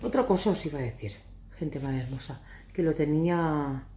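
A woman in her thirties, from Catalonia, chatting to camera in a casual, ironic, rambling way, a little hoarse. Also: sits low, moderate pace, warm tone, roomy sound. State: hoarse from shouting. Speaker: vlogger. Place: living room sofa, indoors. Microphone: phone camera at arm's length.

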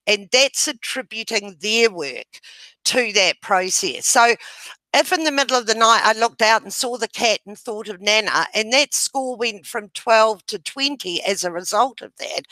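A middle-aged woman from New Zealand giving a webinar over a video call with a headset, explaining a method in a loud, gesturing way. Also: medium pitch, no room sound, poor audio. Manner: loud voice, gestures while talking. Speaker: webinar presenter. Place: home room, indoors.

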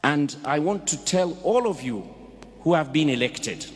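Impassioned Ugandan priest in echoing church. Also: male voice, on the high side, expressive, unhurried. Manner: impassioned, rhetorical questions, emphatic exhortations. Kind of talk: sermon. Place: large church. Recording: reverberant church hall.

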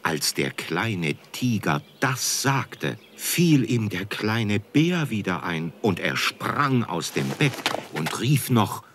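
A man's voice: lowish voice, big pitch swings, slow pace, hardly any pauses, fairly bright tone, slight background noise. A man narrating a scripted children's story as storyteller.

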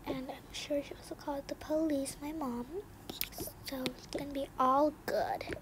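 A young female performer voicing a resentful, sneaky young girl in a game roleplay, often muttering in a breathy half-whisper with casual slang. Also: high voice, fairly even intonation, moderate pace, natural voice. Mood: relieved, plotting. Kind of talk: improvised game roleplay storytelling. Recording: noisy home recording with clicks.

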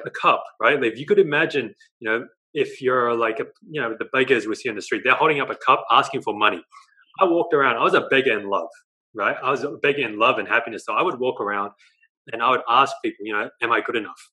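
Young Australian man, bright forceful voice, animated storyteller, earphone mic on a video call from his living room. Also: medium pitch, low-quality recording. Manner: animated storytelling, gestures a lot, tags lines with "right?". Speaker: guest, dating coach.

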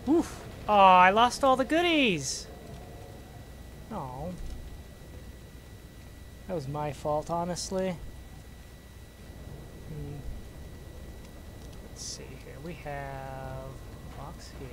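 An unhurried gamer with a mid-pitched voice talks through a playthrough in a casual, playful way. They address objects and foes on screen directly. Game ambience is clearly audible behind the voice. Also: animated, a bit bright, slight background noise, low-quality recording. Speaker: gamer narrating own playthrough. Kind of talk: casual let's play commentary.

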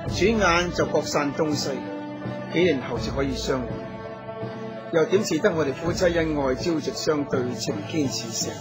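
An actor playing a resolute, caring heroic husband in a radio drama. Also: male voice, on the high side, normal speed, loud music behind the voice, natural voice. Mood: tender, caring. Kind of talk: Cantonese radio drama acting.

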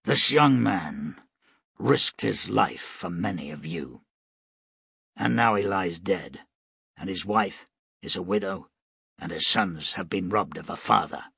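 A man doing a grim, low-voiced gunman. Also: lively intonation, slow pace, creaky, pauses often, pushed and bright, husky, dry recording, studio quality, exaggerated voice. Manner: stern, commanding.

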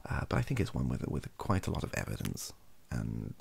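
A British man talks in an extremely low voice, heavy with fry. He speaks softly and hesitantly, rambling and rephrasing as he goes, with a husky edge to the sound. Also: huge pitch swings. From United Kingdom. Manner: hesitant, halting, self-correcting. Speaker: ASMR video creator. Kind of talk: softly spoken improvised rambling talk. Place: small indoor home room.

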